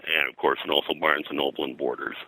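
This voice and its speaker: An older American man reminiscing as an interview guest, in a halting, rambling way. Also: extremely low pitch, little pitch movement, bright, forceful tone, slightly rough, dry recording, rough recording. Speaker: guest, comics colorist and publisher.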